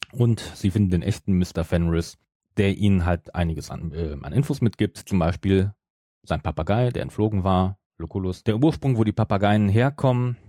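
A middle-aged man with a low voice that moves up and down a lot. He talks casually as a podcast co-host, stumbling and correcting himself along the way. The sound is close and dry. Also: mellow, no echo. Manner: hesitant, self-correcting, many broken-off restarts. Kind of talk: casual podcast talk, retelling a story.

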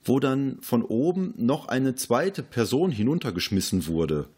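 A man's voice: medium pitch, big pitch swings, measured pace, a little mellow, husky. A man podcast host talking in a casual film discussion.

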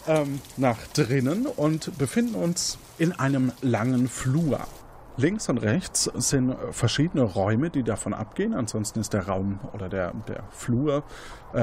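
A man's voice: medium pitch, a little mellow, slight background noise. A man, improvising scene descriptions as game master of an interactive mystery game, often correcting himself.

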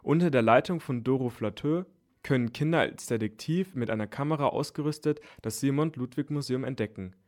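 A man's voice: mid-range voice, fairly quick, darkish tone. A man reading scripted radio news for children as a newsreader.

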